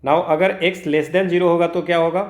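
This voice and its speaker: A man's voice: on the high side, fairly even intonation, measured pace. A man with an Indian accent giving an informal maths lesson, working step by step, asking himself questions and mixing two languages. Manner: code-switches between two languages, asks himself questions, frequent check-words. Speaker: maths teacher for exam preparation. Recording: roomy, echoing sound.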